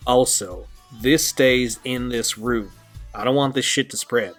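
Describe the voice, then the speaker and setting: A man's voice: medium pitch, measured pace, fairly bright tone, faint music behind, natural voice. A man playing an easygoing, wry young guitarist friend, sheepish but good-humoured, in an audio drama. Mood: sheepish, confessing. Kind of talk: amateur audio drama acting.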